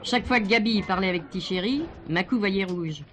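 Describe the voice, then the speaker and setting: A woman's voice: medium pitch, fairly quick, close to an everyday voice. An actress playing an older former showgirl in a French stage play, chatty, emphatic, proud and full of nostalgia. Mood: gossipy. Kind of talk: French stage comedy-mystery acting.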